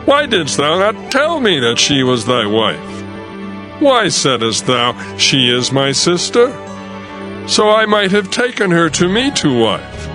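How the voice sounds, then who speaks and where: An imperious, indignant king in a scripture drama. The pitch is fairly high and swings in lively fashion, with very slow, declamatory, accusing phrases. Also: music in the background. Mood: indignant, reproachful. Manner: declamatory, reproachful.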